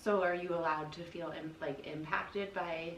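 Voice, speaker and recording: A woman's voice: on the low side, fairly even intonation, normal speed. An American woman co-hosting a casual podcast conversation, asking questions and reflecting in an informal, empathetic way. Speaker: podcast co-host, interviewer. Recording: echoey room, distant mic, faint noise.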